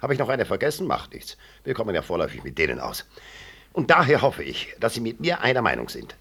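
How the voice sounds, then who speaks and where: Radio drama: low, gravelly senior professor, sharp and sarcastic, swooping intonation. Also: male voice, wildly swooping pitch, fast, full character voice. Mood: mocking. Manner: biting irony, rhetorical questions. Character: combative, sarcastic senior medical professor defending his colleague. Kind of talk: 1960s German radio play acting.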